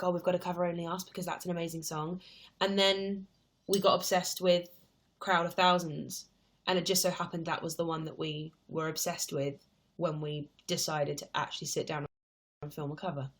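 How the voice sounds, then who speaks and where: Chatty young woman reading out viewer questions. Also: on the low side. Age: 20s. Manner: chatty and rambling, reads viewer questions aloud. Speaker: livestream host answering viewer questions. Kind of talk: casual live chat.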